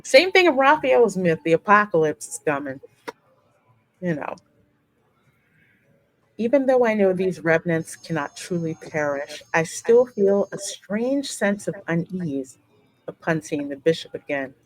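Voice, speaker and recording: A woman hosting a gaming channel, reading game text aloud and adding expressive, informal commentary. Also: fairly low pitch, measured pace, rough recording.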